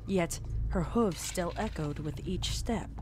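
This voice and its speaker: A man's voice: high-pitched, takes it slowly. A man reading scripted, dramatic narration of a horror story as its narrator. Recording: clear background noise and ambience.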